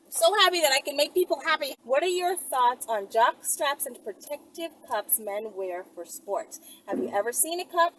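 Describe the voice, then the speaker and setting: A woman's voice: high voice, expressive, moderate pace, hardly any pauses. A woman hosting a video, chatting informally and thinking aloud as she answers viewer requests with rhetorical questions. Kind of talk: casual rambling chat to camera. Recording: distant mic, loud vehicle and street noise.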